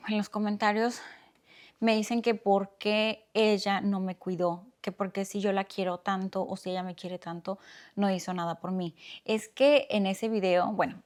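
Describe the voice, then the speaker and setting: A young woman from Oaxaca speaks with a Mexican accent in a medium-pitched voice. She hosts her podcast alone, telling a personal story in a soft, reflective tone. She takes her time and pauses often. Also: smooth. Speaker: podcast host.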